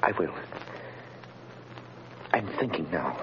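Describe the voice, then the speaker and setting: An anxious, halting young condemned man. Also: sits low, lively intonation. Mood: anxious, eager. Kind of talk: 1930s-40s radio drama acting. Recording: muffled, noisy old radio recording.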